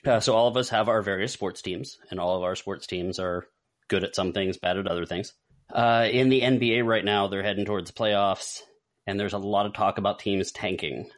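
Deep-voiced man chatting as podcast host. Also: fairly even intonation, normal speed. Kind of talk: casual panel chat.

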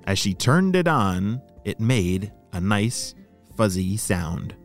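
A man's voice: lowish voice, sing-song, slow pace, soft background music. A man hosting a children's story podcast, narrating and explaining things simply to young listeners.

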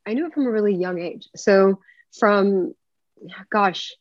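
A woman's voice: medium pitch, unhurried, dry recording. Talkative woman from Puerto Rico, lo-fi call. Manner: talkative, rambling storyteller. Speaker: actress guest. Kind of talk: casual interview conversation. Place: home room. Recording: dry, slightly dull lo-fi sound.

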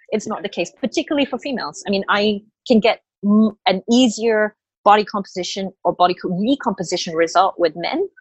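A woman's voice: lowish voice, no echo, rough recording. A woman in her late thirties who grew up in Australia, a health coach talking in a podcast interview, hesitant with many fillers and restarts. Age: late 30s.

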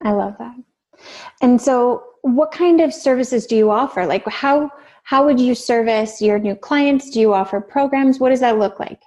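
Canadian lady hosting a chat, gushy and upbeat, kinda high voice. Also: normal speed. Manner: warm, effusive, affirming. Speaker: podcast host. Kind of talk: casual interview.

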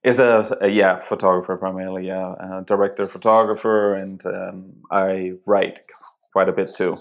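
A middle-aged man from Denmark whose first language is Danish talks as a guest in a relaxed interview. His voice sits low, and he tells personal stories in a conversational, unscripted way. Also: moderate pace, highs cut off. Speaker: interview guest, photographer and writer. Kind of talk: casual conversational storytelling.

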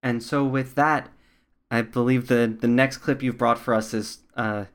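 A man interviewing someone in a thoughtful, measured and tentative conversational way. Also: medium pitch, steady tone.

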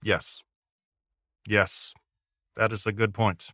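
A man's voice: on the low side, little pitch movement, slow, bright, forceful tone, no room sound, clean studio sound. A man chatting casually as an interview guest, hesitant, modest and understated in how he talks. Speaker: interview guest, audio fiction podcast creator.

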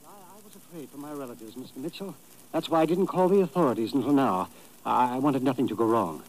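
A man's voice: mid-range voice, quick pace, darkish tone, close to an everyday voice, rough recording. An actor playing a worried, polite immigrant businessman in a 1950s radio drama, speaking formally with hesitant stammers. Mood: anxious, hesitant. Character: anxious, polite immigrant businessman, victim of extortion.

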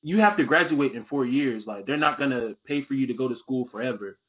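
A man's voice: medium pitch, lively intonation, brisk. Laid-back young man on a phone line. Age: young adult. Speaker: podcast guest. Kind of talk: casual interview chat. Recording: tinny phone-like call audio.

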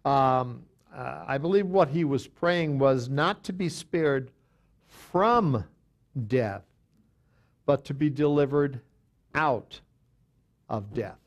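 Male pastor, Bible study teaching, halting delivery, mid-pitched voice, reads scripture aloud. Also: animated.

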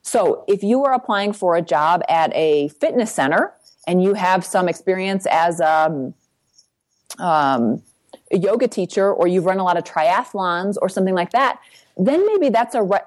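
A woman coaching on resumes in an interview, brisk and practical, often checking in with "right?". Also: mid-range voice, expressive, a little mellow. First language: English. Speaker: guest career coach. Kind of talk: advice interview.

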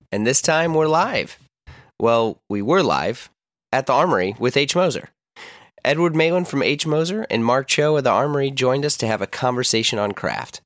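A man speaks as a podcast host in a chirpy, informal intro style. His pitch swings in an animated way, and the sound is dry and close. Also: dry recording. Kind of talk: scripted promo and episode introduction.

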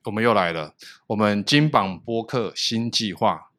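A man's voice: fairly low pitch, expressive, normal speed, fairly bright tone. A man hosting a podcast in a jokey, teasing manner, laughing often. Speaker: podcast host. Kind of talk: casual chat.

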